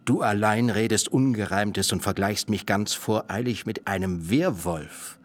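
Ein Schauspieler spielt in einem Hörspiel einen gerissenen, strengen alten Vater, der hart und belehrend verhandelt. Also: male voice, on the low side, no room sound, close to an everyday voice. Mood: stern bargaining. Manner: measured, lecturing, haggling. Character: shrewd stern old father bargaining hard. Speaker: actor in a radio play. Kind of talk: literary radio drama acting.

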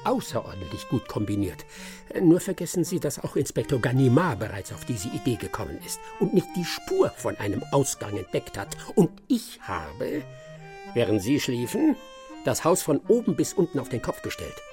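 An actor playing a brilliant, proud and impatient detective in a radio crime comedy, sarcastic and condescending. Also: male voice, mid-range voice, big pitch swings, normal speed, music in the background, lightly acted.

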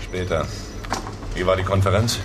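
An actor playing a dry, unimpressed newspaper crime reporter in a German radio drama, understated and probing. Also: male voice, low voice, normal speed, noisy background, lightly acted. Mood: brisk, curious.

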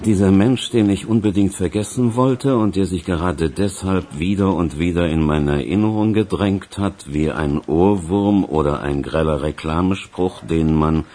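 A man's voice: deep voice, moderate pace, few pauses, dark tone. An actor playing a haunted man voicing his inner thoughts in a radio crime drama, reflective and brooding. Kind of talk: scripted monologue in German radio drama.

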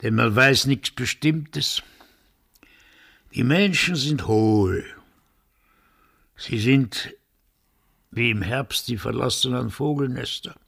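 An actor playing a cagey, melancholy village blacksmith in a radio drama, halting and evasive. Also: male voice, mid-range voice, gravelly, fairly bright tone, slightly rough, exaggerated voice. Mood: evasive, bleak.

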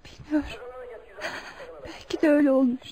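A woman's voice: high voice, level tone, a little fast, warm tone, lightly acted. An actress playing a fragile, grateful wronged mother who regains her memory, speaking hesitantly and emotionally in a radio drama. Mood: fragile, recalling. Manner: hesitant, emotional, repeating words.

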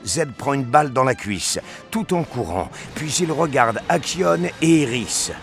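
A man's voice: mid-range voice, lively intonation, measured pace, gravelly, soft background music. A man giving a dramatic scripted narration of a science fiction action story.

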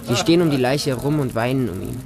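A man's voice: medium pitch, animated, quick pace, vocal fry, over a noisy crowd. A man playing a small, wary homeless boy who narrates his hungry street life in short, simple sentences for a radio drama. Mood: sombre. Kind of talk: radio drama monologue in present tense.

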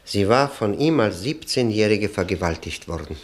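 An actor playing a grave, quietly insistent accuser in a radio play, measured, ironic and politely persistent. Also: male voice, lowish voice, expressive, a little hiss or hum, lightly acted. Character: grave, probing, quietly insistent accuser doubting the justice system. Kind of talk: German radio play acting, 1960.

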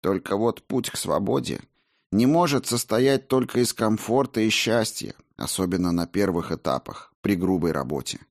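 A stern Buddhist monk teacher from a storybook, voiced by a male narrator, blunt and scornful. He instructs in a didactic way. His voice sits fairly low, and the sound is dry and close. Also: normal speed, no echo.

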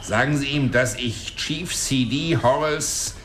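A man's voice: mid-range voice, slow pace, fairly bright tone, a little hiss or hum. An actor playing a cantankerous, scrawny old man who talks boastfully and crudely in a radio play. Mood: indignant. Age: older. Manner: cranky, mocking, boastful.

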